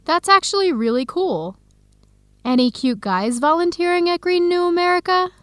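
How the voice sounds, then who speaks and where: An actress playing a warm-hearted young woman in an educational radio drama, expressive and conversational. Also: extremely high pitch, normal speed, no echo. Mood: friendly, teasing. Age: young adult. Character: Helen, warm-hearted young woman, defensive about her boyfriend.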